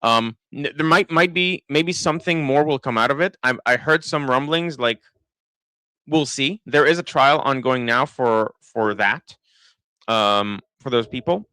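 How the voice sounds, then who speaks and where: Israeli man with an American-sounding accent, dry and bright. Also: medium pitch, a little slow, clean tone, no room sound. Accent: American-sounding English. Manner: thinking aloud, many restarts and fillers. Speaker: political commentator. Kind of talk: live-stream commentary reading chat.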